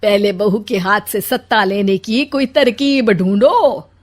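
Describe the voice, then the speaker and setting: A woman's voice: medium pitch, unhurried, natural voice. A woman voicing a jealous, bossy older mother-in-law in a comic cartoon story, complaining dramatically. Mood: complaining, plotting.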